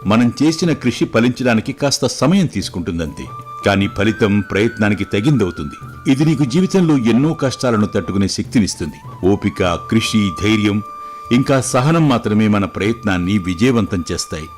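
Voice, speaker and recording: A man playing a calm, wise fatherly king who gives measured, reassuring moral advice in a storybook tale. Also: on the low side, soft background music, lightly acted. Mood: approving, warm. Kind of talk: scripted moral story dialogue.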